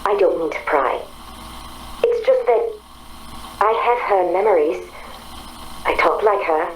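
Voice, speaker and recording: Casting: woman as searching, sincere machine intelligence, calm and deliberate, slightly low voice, faint noise behind, game drama. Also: lightly acted, poor audio. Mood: earnest, pleading. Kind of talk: dramatic game dialogue acting.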